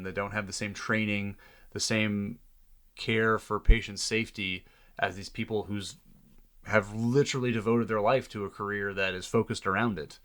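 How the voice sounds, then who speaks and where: A man's voice: fairly low pitch, a bit bright. A man speaking as a doctor in an earnest, reflective interview conversation. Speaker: doctor, podcast guest.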